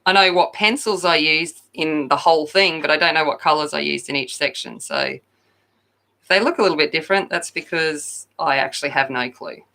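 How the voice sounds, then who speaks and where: A woman hosting a coloring channel, chatting loudly and casually with viewers while she colors, often poking fun at herself. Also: sits low, moderate pace. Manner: loud, chatty, self-deprecating.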